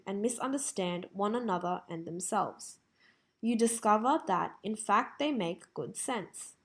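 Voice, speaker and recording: Australian woman reading aloud. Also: medium pitch. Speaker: reader of English test practice passages. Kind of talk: scripted read-aloud.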